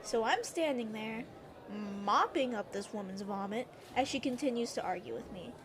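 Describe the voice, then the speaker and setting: An actress playing a nosy, sharp-tongued young maid in a castle. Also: female voice, a little high, expressive, moderate pace, a crowd in the background. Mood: gossipy. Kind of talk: audio drama acting.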